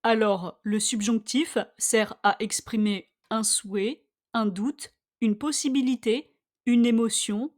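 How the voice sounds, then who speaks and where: A woman reading a scripted language-lesson dialogue with careful, deliberate phrasing, for listeners to repeat. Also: fairly high pitch, steady tone. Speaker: language teacher.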